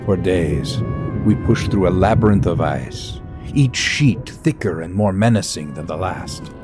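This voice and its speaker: A grave thoughtful professor in an audio drama, low voice, swings a lot, music behind. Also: male voice, animated, measured pace. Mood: brooding. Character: grave, thoughtful professor narrating his voyage, anxious when pleading. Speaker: narrator and lead character.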